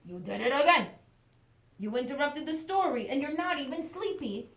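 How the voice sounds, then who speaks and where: A woman voicing a patient, weary father chicken who gently chides his child in a bedtime storybook. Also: sits high, animated, normal speed, reverberant, slight background noise. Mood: chiding.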